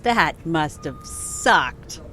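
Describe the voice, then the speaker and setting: A woman's voice: sits low, sing-song, extremely slow, bright, forceful tone, full character voice. A woman playing a sarcastic, petulant character who squabbles whinily in an audio drama. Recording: faint background noise.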